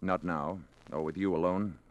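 An actor playing a calm, dignified alien visitor in human form, speaking in a measured, courteous way in a 1950s radio drama. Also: male voice, sits low, highs cut off, close to an everyday voice. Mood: calm, guarded. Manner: measured, courteous, quietly assured.

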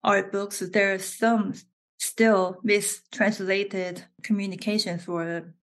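Korean-accented woman, 30s, guest on a casual interview; slow, halting delivery with restarts. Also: medium pitch, flat delivery. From South Korea. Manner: halting, with frequent restarts and laughs.

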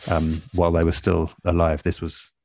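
A man with a low-pitched voice, recorded close and dry, shares stories as an interview guest. His delivery is informal and halting, with a self-deprecating touch. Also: monotone, creaky, darkish tone, dry recording. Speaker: radio producer and interview guest. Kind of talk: casual anecdotal chat.

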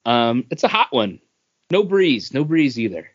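A man's voice: medium pitch, very animated, measured pace, slightly rough. A man talking informally and slangily as a co-host on a casual podcast recorded over an internet call. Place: remote voice call from home. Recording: dull lo-fi internet call audio.

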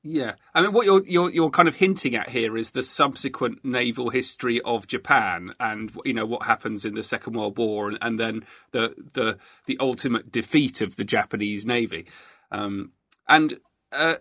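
Male history podcast host, forward bright tone, conversational questioner, very dry recording. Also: mid-range voice, moderate pace, no room sound. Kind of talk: conversational probing interview questions.

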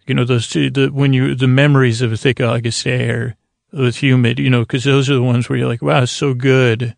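A man with a creaky voice hosting a bedtime podcast in a languid, meandering, soothing ramble, often stumbling over his words. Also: medium pitch, flat delivery, normal speed, no room sound, clean studio sound. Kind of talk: rambling, soothing sleep-aid monologue.

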